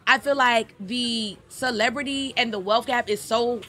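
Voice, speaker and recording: Bright, high-voiced woman. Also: measured pace. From Atlanta, USA. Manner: animated, storytelling. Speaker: guest actress. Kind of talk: casual podcast chat. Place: indoor studio set with couches. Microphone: boom mic.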